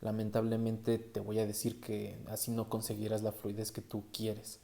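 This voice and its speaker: A man's voice: fairly low pitch, monotone, normal speed, creaky, mellow. A man with a Mexican accent talking casually as a solo podcast host, conversational and often adding little tag questions.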